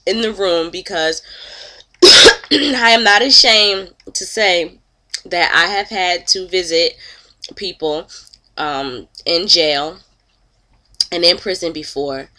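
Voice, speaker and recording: A woman's voice: on the low side, slow, pauses often, pushed and bright. A woman in her thirties with a cold, sounding congested and nasal, chatting and gossiping to camera from home. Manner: chatty, openly disgusted commentary. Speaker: vlogger. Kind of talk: casual gossip chat. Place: at home, indoors.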